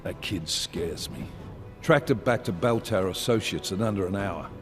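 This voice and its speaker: A man playing a brisk police chief. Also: low voice, music in the background, lightly acted. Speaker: video game character. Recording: game cutscene with score.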